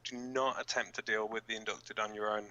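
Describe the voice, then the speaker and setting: A man playing a flustered, blunt resistance fighter who co-hosts a radio broadcast in an amateur audio drama, speaking informally and haltingly. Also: fairly low pitch, little pitch movement, pushed and bright, highs cut off. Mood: serious instruction. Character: flustered, sweary young male resistance fighter reading radio bulletins. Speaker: radio co-host in a fiction drama.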